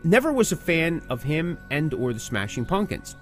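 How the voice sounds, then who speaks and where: A man's voice: on the high side, sing-song, normal speed, soft background music. A middle-aged man hosting a podcast in casual conversation, opinionated and emphatic, repeating phrases for stress. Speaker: podcast host who interviews musicians.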